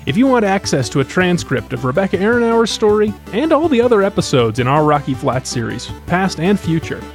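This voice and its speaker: American man, podcast host, slightly high-pitched voice, interviewing and scripted reading, some music behind.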